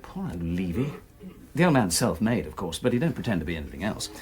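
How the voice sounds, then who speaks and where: A man's voice: sits low, sing-song, fairly quick. An actor playing a jovial, idle, slangy club man in a radio drama, with an upper-class English accent. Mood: chatty. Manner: slangy, exclamatory.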